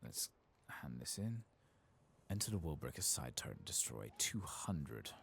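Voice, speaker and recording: A man with a gravelly voice, speaking softly and sometimes whispering in an ASMR game playthrough commentary. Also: big pitch swings, unhurried, a little hiss or hum. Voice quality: gravelly, very deep. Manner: soft-spoken, sometimes whispering. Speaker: ASMR gaming streamer.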